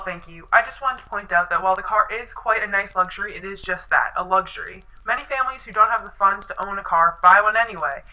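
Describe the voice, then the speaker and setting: Opinionated 1920s female radio guest played by a woman, prim, brisk pace, dull recording. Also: medium pitch, close to an everyday voice. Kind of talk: scripted 1920s radio show acting.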